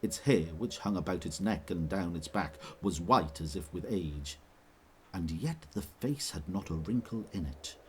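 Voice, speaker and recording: Deep-voiced male narrator. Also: slight background noise. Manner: measured, expressive reading. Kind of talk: scripted storybook narration.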